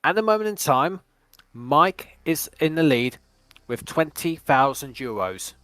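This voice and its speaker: A man hosting a quiz show with measured, formal delivery that sometimes restarts its phrases. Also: on the high side, very animated, fairly bright tone, no room sound.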